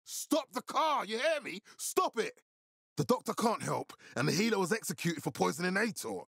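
An actor playing an authoritative, blunt militia leader with a gravelly voice in a zombie apocalypse video game. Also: male voice, sits high, big pitch swings, moderate pace, a bit bright, no echo, studio quality, full character voice. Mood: furious, shouting orders. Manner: authoritative, urgent, giving blunt orders. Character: commanding, hard-swearing male militia leader in a zombie apocalypse. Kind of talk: video game dramatic acting.

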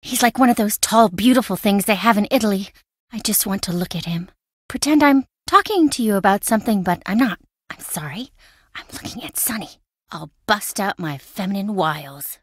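A woman playing an insecure, excitable, boy-crazy young best friend in a video game, dramatic and expressive. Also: mid-range voice, moderate pace, no echo, clean studio sound, natural voice. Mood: smitten. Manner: dramatic, expressive, emotionally swinging.

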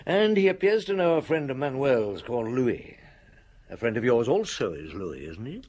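An actor playing a shrewd, unhurried, wry middle-aged chief inspector with a husky voice in a radio drama. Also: male voice, mid-range voice, very animated, a little mellow. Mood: wry, probing.

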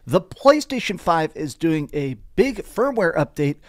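A man in his thirties presenting upbeat gaming news, excitable and chatty, close on a studio mic. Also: a little high, measured pace, no echo. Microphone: close boom-arm studio microphone.

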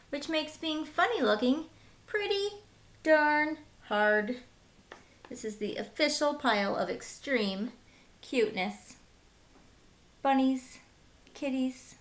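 A woman in her thirties reading a picture book aloud as a teacher, with simple, deliberate phrasing for young children and short asides. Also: high voice, a bit bright. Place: small room at home, indoors.

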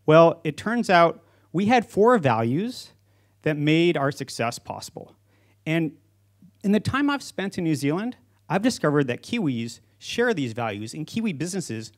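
A man in his forties speaks in a fairly high voice, presenting a prepared talk to an audience. He is a company founder on an auditorium stage, using a handheld microphone. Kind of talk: prepared conference speech to an audience.

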